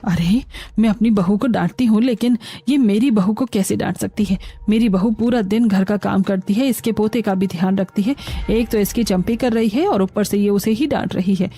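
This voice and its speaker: Woman playing a firm middle-aged mother-in-law, mellow. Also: mid-range voice, moderate pace, husky. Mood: indignant. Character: stern middle-aged mother-in-law, quietly resentful, protective.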